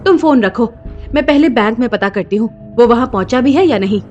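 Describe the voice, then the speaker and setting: A woman playing an anxious, status-conscious young businesswoman in an audio drama, dramatic and expressive. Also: fairly high pitch, fairly quick, muffled recording, lightly acted. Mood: worried. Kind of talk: Hindi audio drama acting. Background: background music.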